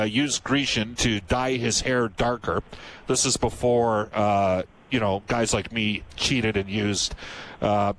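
A man's voice: mid-range voice, fairly bright tone, a little hiss or hum, low-quality recording. A man from Canada hosting a casual chat, telling rambling anecdotes full of fillers. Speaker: host.

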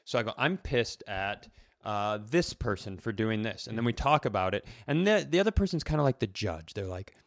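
A man's voice: fairly low pitch, fairly quick, dry recording, clean studio sound. A middle-aged man co-hosting a comedy podcast, telling stories casually, swearing freely and acting out quotes. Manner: animated retelling with acted quotes, casual swearing. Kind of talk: casual comedic storytelling chat.

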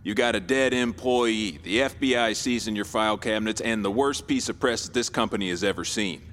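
A man's voice: on the low side, fairly quick, a bit bright, lightly acted. An actor playing a blunt, condescending male board member in an audio drama. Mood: scornful. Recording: reverberant hall, faint noise.

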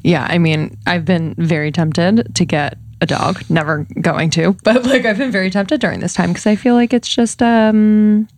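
A woman's voice: fairly low pitch, fairly even intonation, normal speed, hardly any pauses, darkish tone, no echo. A young woman co-hosting a podcast, chatting in an upbeat way with plenty of likes and ums. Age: young adult. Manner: upbeat, chatty, many fillers. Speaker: podcast co-host. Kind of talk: casual chat.

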